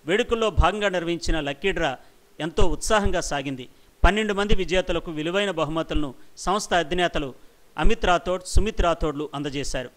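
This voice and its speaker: Higher-pitched male news narrator. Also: fairly quick, fairly bright tone. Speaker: news reporter.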